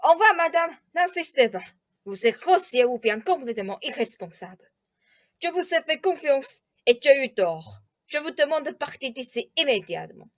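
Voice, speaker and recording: A woman's voice: high-pitched, very animated, normal speed. A woman playing a stern, protective king and father in a student radio play. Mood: indignant, stern.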